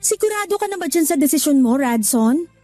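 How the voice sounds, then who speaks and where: A woman's voice: high-pitched, lively intonation, fairly quick, dark tone, dry recording, faint music behind. An actress playing a practical young woman in a Tagalog radio drama, teasing her partner and then scolding him for quitting his job. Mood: worried. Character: young woman working abroad, practical, a little irritated.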